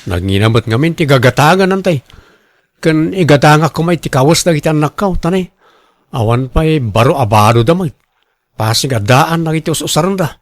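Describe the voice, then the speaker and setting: A stubborn, image-conscious family man acted by a man in a radio drama. His voice sits a little high for a man, with an Ilocano Filipino accent and a dry, close sound. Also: animated, a little fast, no room sound, lightly acted. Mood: pleading. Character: proud, image-conscious husband and father, defensive and stubborn.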